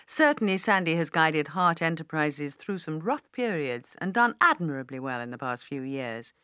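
A woman narrator voices a shrewd older gentleman in an audiobook. The voice is low, deliberate and courtly. The recording is dry. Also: lively intonation, clean tone, no echo. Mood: approving, measured. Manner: deliberate, formal. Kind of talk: audiobook character dialogue.

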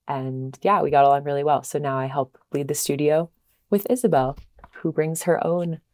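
A woman, a sustainability consultant, explaining her career thoughtfully with frequent pauses. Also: sits low, a little mellow. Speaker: sustainability consultant, studio co-lead. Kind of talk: casual interview answers.

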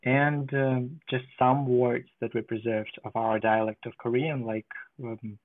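Guy from ukraine being interviewed, thoughtful and measured, tinny dry call audio. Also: medium pitch, level tone, no echo. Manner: thoughtful, measured, with frequent pauses and fillers. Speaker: guest. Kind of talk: conversational interview. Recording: tinny phone-like line.

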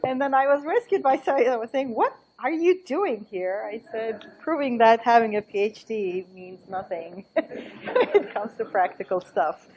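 Middle-aged woman, slightly high voice, animated academic speaker who thinks out loud. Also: normal speed, muffled recording, a little hiss or hum. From Turkey. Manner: animated, laughing asides, thinking aloud. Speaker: invited book talk speaker. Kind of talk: academic talk with anecdotes.

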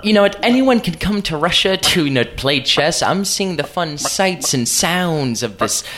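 A woman playing a flustered, halting chess player who stammers and backtracks, in live improvised comedy theater. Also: deep voice, lively intonation, fairly bright tone. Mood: flustered, evasive. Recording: live comedy stage with audience noise.

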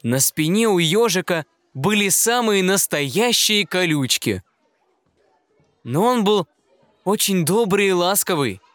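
A man narrates a children's fairy tale gently and expressively. He takes an unhurried pace, and his pitch moves up and down in a lively way. The recording is dry and intimate, with no room sound. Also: dry recording. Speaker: reader of children's fairy tales. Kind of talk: scripted storybook narration.

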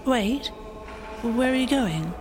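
An actress playing a loyal, encouraging female angel with an earnest, measured manner in an audio drama. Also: on the high side, lively intonation, music in the background. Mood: teasing.

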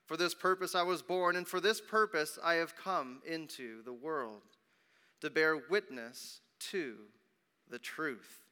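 A man voicing a calm, resolute holy prisoner in a scripture reading, with measured, solemn declarations, in an echoing hall. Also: on the high side, clear, resonant tone. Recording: long reverberant hall echo.

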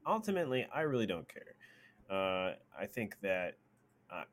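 A man's voice: on the low side, sing-song, normal speed. A man co-hosting a gaming news show, chatting informally and acting out mock quotes as he comments. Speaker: gaming news podcast co-host.

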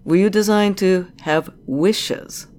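A woman's voice: medium pitch, slow, darkish tone, a little hiss or hum, lightly acted. A woman playing a commanding, curious corporate director in an audio drama, with a teasing, probing and self-assured manner. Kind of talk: scripted audio drama acting.

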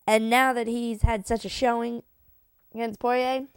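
A woman's voice: fairly high pitch, little pitch movement, normal speed, clear, resonant tone, no room sound. A woman co-hosting a podcast with a stuffy nose from a cold, teasing and blunt in casual chat. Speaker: podcast co-host.

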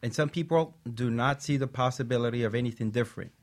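A man who is a talk show guest, giving an animated personal testimony and briefly acting out discouraging voices. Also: medium pitch, fast talker. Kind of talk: motivational testimony.

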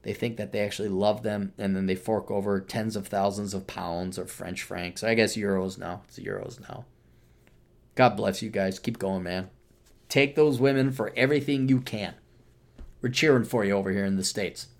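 Sardonic, profane podcast host ranting. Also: male voice, fairly low pitch, fairly even intonation, moderate pace. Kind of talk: solo podcast monologue plugging books.

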